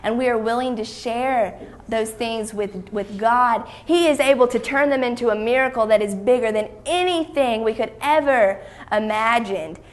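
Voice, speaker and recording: A steady, kindly father played by a woman storyteller speaks with earnest reassurance. The voice is on the higher side, gently instructive, in the manner of a children's Bible story. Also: moderate pace, natural voice. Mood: gentle encouragement.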